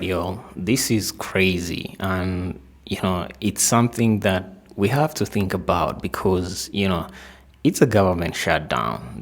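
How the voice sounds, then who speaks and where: A man's voice: deep voice, creaky. A man giving casual news commentary as a video host, talking straight to viewers with rhetorical questions. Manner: conversational, addresses viewers directly with rhetorical questions.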